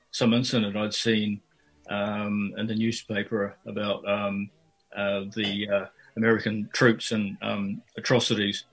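An older man from Fiji and New Zealand talks through a radio interview about his life as a poet. His delivery stays flat and even, with little rise and fall. He hesitates often, full of fillers and false starts. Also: fairly low pitch, breathy edge, rough recording. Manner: hesitant, many fillers and restarts.

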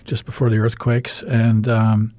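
A man in his fifties with an American accent, telling his story with plenty of ums and uhs and a dry, wry humor. His voice is mellow and a little dark. It sounds close and dry on a studio microphone. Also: fairly low pitch, completely flat delivery, measured pace, no room sound. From United States. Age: 50s. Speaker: radio show guest. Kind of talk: candid storytelling interview.

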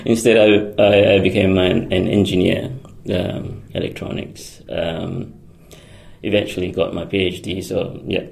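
A man speaks in a very deep, heavily gravelly voice. He talks slowly, pausing often with ums and restarting his sentences as a radio interview guest. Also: animated. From Singapore. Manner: hesitant, many fillers and restarts; laughs often. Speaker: guest, electronics engineer.

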